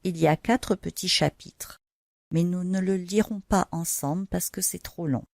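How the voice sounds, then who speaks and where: A woman with a slightly low voice tells Bible stories to children at an unhurried pace. Her delivery is simple and instructive, addressing the young listener directly. The sound is close and dry. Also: gravelly, darkish tone, dry recording. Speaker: storyteller for children. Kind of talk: children's Bible storytelling with narration and prayer.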